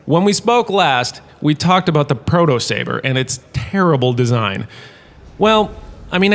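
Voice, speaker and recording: An actor playing a vain, washed-up star turned professor, lecturing in a pompous, theatrical, self-satisfied way for a comedic audio drama. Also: male voice, medium pitch, a bit bright, lightly acted.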